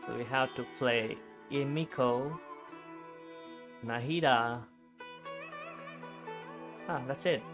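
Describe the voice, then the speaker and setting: A game livestreamer of unclear sex chatting casually and sounding tired, with loud music behind the voice. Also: mid-range voice, slow, pauses often.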